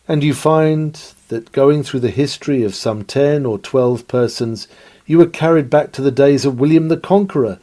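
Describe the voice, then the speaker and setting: A man's voice: medium pitch, dark tone. A man reading an old-fashioned sermon aloud in an earnest, oratorical manner with formal, dramatic phrasing. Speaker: sermon reader, preacher. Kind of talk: dramatic read-aloud 19th-century sermon.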